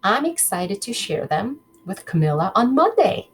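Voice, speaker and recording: A woman's voice: mid-range voice, very animated, moderate pace, breathy edge. A woman teacher reading a children's book aloud, expressively, breaking off to question her pupils directly.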